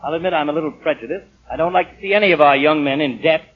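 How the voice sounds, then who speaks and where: A man's voice: fairly high pitch, rapid-fire, breathy edge. An actor playing a proper, well-off middle-aged businessman, polite but disapproving, in a 1940s radio drama. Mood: formal, disapproving. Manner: polite, clipped, authoritative. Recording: muffled vintage 1940 radio recording.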